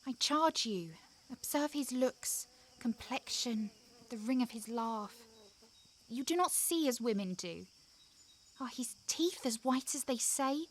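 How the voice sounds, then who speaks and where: A woman's voice: a little high, lively intonation, slow pace. A young actress in an audio drama playing an eager, innocent and imperious noblewoman, speaking in urgent commands and questions. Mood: urgent, demanding.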